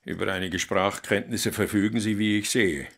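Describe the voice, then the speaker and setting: An actor playing a haughty hotel general director in a radio play, curt, condescending and commanding. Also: male voice, fairly low pitch, steady tone, moderate pace, gravelly, fairly bright tone, husky, full character voice. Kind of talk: German radio play acting.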